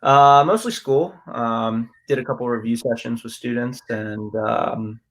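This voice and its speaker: A young man with a medium-pitched voice hosts a relaxed conversation. He talks casually as an interviewer, in an everyday conversational style. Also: unhurried. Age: young adult. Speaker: interviewer, medical school applicant.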